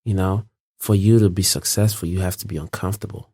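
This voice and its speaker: A young man from Los Angeles talks in an unhurried, rambling way, often circling back and restarting his thoughts. His voice sits deep and low. The sound is dry and close, with no room around it. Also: steady tone, dark tone, husky, dry recording. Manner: laid-back, rambling, frequent fillers and restarts. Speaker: guest. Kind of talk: casual interview chat.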